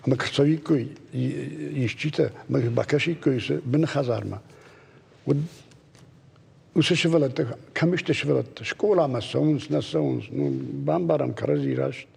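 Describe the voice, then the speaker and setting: Gravelly, dark-voiced man in an echoey space. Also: mid-range voice, measured pace. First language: Ossetian. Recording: long reverb, echoey space.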